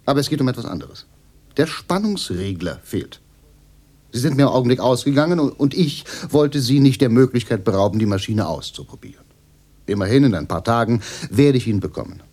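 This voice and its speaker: Radio play supporting role: an eager salesman, medium-pitched, polite, always soothing and selling. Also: animated, brisk, a little mellow, husky. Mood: apologetic, firm. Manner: polite, placating, persuasive. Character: smooth-talking, eager male machine salesman, placating. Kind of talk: radio drama acting.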